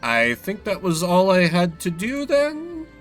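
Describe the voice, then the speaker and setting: A male game streamer commenting casually, with wry sarcasm. His voice is bright and moves around a lot, over light background music. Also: high voice, wildly swooping pitch, measured pace.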